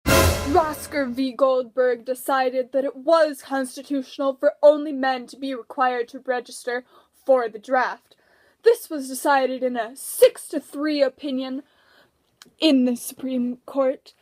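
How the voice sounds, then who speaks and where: A woman's voice: high-pitched, fairly even intonation, unhurried. A woman giving scripted educational narration for a puppet show.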